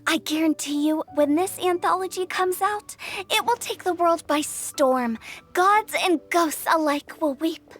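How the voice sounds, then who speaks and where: A very high, girlish game voice for a bubbly young female director. She is cheeky and theatrical, pushing her case with boastful confidence. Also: unhurried, a bit bright. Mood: boastful, persuasive. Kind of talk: video game voice acting.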